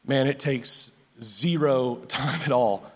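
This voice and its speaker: A man preaching a sermon as pastor, with a loud voice, often stumbling over and repeating his words as he goes. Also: medium pitch, takes it slowly, slightly rough. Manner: stammering restarts, animated and repetitive. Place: church sanctuary. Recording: long hall reverb.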